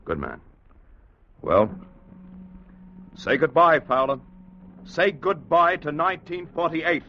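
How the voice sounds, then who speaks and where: An actor playing a confident, dry-witted inventor with an assured, wry manner in a 1950s radio drama style. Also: male voice, fairly high pitch, big pitch swings, slow, a little hiss or hum, exaggerated voice. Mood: triumphant. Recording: muffled vintage radio recording.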